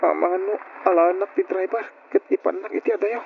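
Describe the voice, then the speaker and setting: A meek orphaned young man speaks with an Ilocano accent in a plaintive, fairly high voice. It is old radio drama acting. The recording is dulled, with faint noise over it. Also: fairly even intonation, a little fast, gravelly, narrow, tinny audio. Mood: forlorn.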